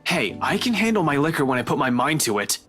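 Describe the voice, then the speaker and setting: An actor playing a weary, haunted investigator in a video game, guarded and dryly sardonic. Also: male voice, a little high, sing-song, quick pace, fairly bright tone. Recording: voice over background music.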